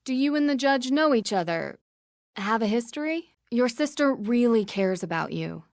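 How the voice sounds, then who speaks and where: A woman voicing an earnest, inquisitive young American detective in scripted video game dialogue, speaking politely and clearly with persistent questions. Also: a little high, lively intonation, moderate pace, a little mellow, no room sound, studio quality. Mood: earnest, persuasive. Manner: polite, probing, clearly articulated.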